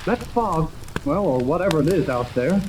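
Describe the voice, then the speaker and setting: An actor playing an earnest, excitable young American radio operator in a 1930s radio serial. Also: male voice, fairly high pitch, normal speed, close to an everyday voice. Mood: excited. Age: young adult. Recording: noisy old radio recording, steady crackling hiss.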